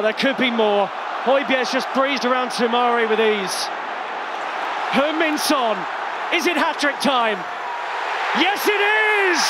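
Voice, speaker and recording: A man giving excited, emphatic live football match commentary as a commentator. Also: extremely high pitch, very noisy. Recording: broadcast over crowd roar and music. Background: stadium crowd, music.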